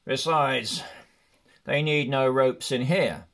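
Slow, imperious elven king read by a man. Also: medium pitch, creaky, fairly bright tone. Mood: stern, commanding. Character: stern, commanding male elven king.

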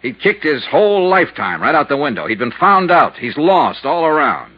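An actor playing a tough, persistent insurance investigator in a 1950s radio drama, with a blunt, pressing, hard-boiled delivery. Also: male voice, a little high, big pitch swings, moderate pace, slightly rough. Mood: pressing, grim. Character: tough, persistent male insurance investigator narrating his case. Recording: muffled vintage 1950s radio recording.

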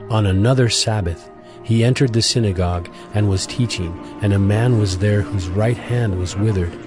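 A man narrating scripture for a dramatized audio Bible in a measured, formal storytelling manner. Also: lowish voice. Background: music.

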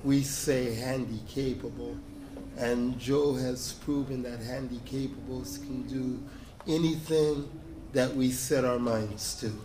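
A man in an audience speaking into a handheld mic in a large convention hall, telling an earnest, unhurried story to a panel. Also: mid-range voice, steady tone, background noise. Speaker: audience member. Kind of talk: spontaneous speech to a panel.

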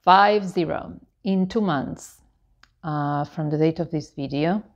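A woman of about fifty chatting casually to camera as a lifestyle vlogger, in a halting, meandering, explanatory way. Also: sits low, dull audio. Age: 49, about to turn 50. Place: living room with tiled floor, indoors. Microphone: clip-on lapel microphone.

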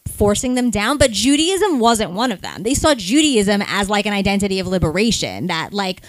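A woman's voice: medium pitch, expressive, moderate pace, dry recording, rough recording. Earnest woman recapping a book. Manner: earnest, reflective, sums up with hedging phrases. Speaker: podcast co-host. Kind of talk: live podcast book discussion.

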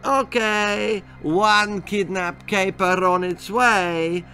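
A man's voice: high-pitched, steady tone, very slow, sharp tone, faint music behind, pitched above the performer's own voice, exaggerated voice. Man doing a sing-song Italian mafioso pizza seller. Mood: sly, scheming.

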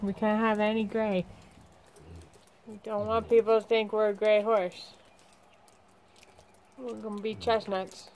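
A woman's voice: medium pitch, little pitch movement, a little slow, pauses often, darkish tone, a little hiss or hum. Chatty young woman vlogging at a barn. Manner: chatty, talks fondly to her horses. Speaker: vlogger, horse trainer. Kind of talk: casual vlog chat and voice-over. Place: outdoors at a barn and paddock. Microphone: phone held at arm's length.

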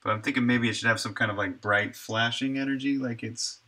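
A man in his thirties, a game developer thinking aloud in a halting, musing way at his home desk while streaming his work. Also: medium pitch, steady tone, pushed and bright. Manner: musing, halting, talks to himself.

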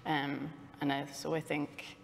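Deep-voiced woman, thoughtful panel guest. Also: flat delivery, slow pace, vocal fry, long reverb tail, slight background noise. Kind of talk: panel discussion.

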